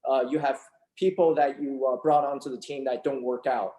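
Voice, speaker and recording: Measured man from Taiwan, echoey room. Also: medium pitch, fairly even intonation, warm tone. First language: not English. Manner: measured, many fillers and restarts. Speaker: sports team owner, guest. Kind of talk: interview answers.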